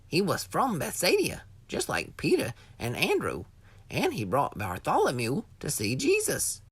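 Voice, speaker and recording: A woman narrating a scripted Bible lesson for children, teaching point by point with expressive storytelling. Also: low voice, unhurried, slightly rough, no echo.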